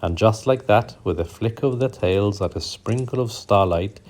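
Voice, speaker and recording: A man hosting a children's bedtime story show, narrating in a gentle, soothing, unhurried way. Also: on the low side.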